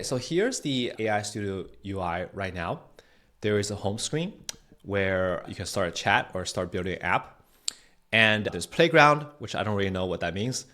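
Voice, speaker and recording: Low-pitched man hosting a tutorial. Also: fairly bright tone. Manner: conversational, explanatory. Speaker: host, product manager giving a tutorial. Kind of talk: tutorial narration with screen walkthrough.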